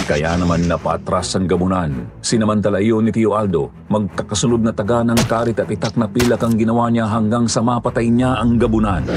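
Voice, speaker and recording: A man narrates a scripted horror story in a measured, steady way. His voice sits low. Soft music plays beneath him.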